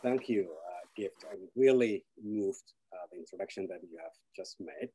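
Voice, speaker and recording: A man, giving an earnest keynote speech over a video call, halting with frequent fillers. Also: sits low, mellow, a little hiss or hum. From Somalia. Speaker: keynote speaker. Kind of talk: personal speech.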